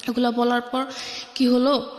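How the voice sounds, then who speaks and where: A woman with a high voice and a Bangladeshi accent shares a personal story as a guest. She speaks colloquially, replaying conversations by quoting each side. The recording is very echoey, with a long reverb tail. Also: monotone, unhurried. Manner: recounts conversations by quoting both sides. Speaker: guest telling her life story.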